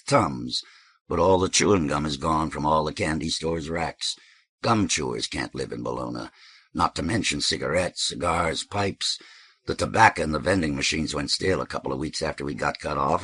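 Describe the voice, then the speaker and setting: A man plays a cocky, sociable street fellow in an audiobook. He holds forth in colloquial talk with a very low, husky voice, recorded close and dry. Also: pushed and bright, dry recording, full character voice. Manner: colloquial, holding forth, declarative. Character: cocky, hunch-shouldered, sociable man in a cap. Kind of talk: audiobook character dialogue.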